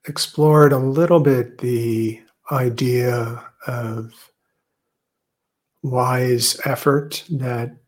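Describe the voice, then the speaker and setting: Guy teaching meditation, really slow n gentle, lots of long pauses, pretty flat tone. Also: medium pitch. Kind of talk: guided meditation.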